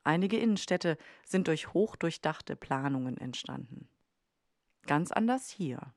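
A woman's voice: low-pitched, lively intonation, a little slow, no room sound, clean studio sound. A woman reading scripted podcast narration as the host.

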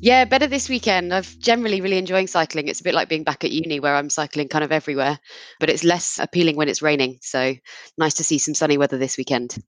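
A woman's voice: low-pitched, steady tone, fast talker, no echo. A woman co-hosting a podcast, chatting casually. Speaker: podcast co-host. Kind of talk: casual chat.

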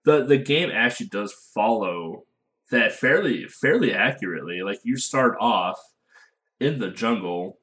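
American male co-host, fairly low voice, loose and self-deprecating. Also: expressive, unhurried, fairly bright tone. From United States. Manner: rambling, filler-heavy, self-deprecating. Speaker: podcast co-host. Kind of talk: casual podcast chat.

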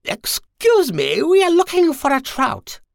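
A man voicing a vain, haughty female witch in a storybook, with a shrill, bossy, dramatic manner. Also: wildly swooping pitch, unhurried, vocal fry, dry recording, studio quality, exaggerated voice. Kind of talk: storybook character voice.

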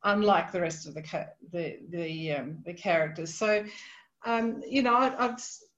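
A woman's voice: fairly low pitch, moderate pace, a bit bright, highs cut off. A woman with an Australian accent, a literary scholar on a podcast, talking about a short story in a hesitant, thinking-aloud way with many ums and restarts. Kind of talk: podcast discussion of a novel.

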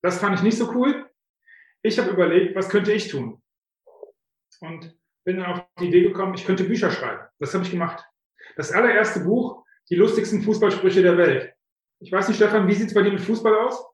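A man's voice: high-pitched, moderate pace. A man in his fifties, a guest author coach telling his story in a chatty, self-deprecating way, with frequent filler sounds. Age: 50s. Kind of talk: casual interview storytelling. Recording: very echoey room.

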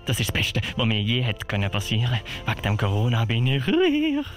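A man's voice: medium pitch, wildly swooping pitch, brisk, faint music behind. A man playing a greedy, pompous, megalomaniac villain doctor in a theatrical children's audio play. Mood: greedy, manic.

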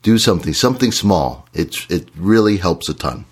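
A man, hoarse from shouting, co-hosting a podcast in a casual, encouraging way. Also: sits low, animated, normal speed. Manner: earnest, encouraging advice. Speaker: podcast co-host.

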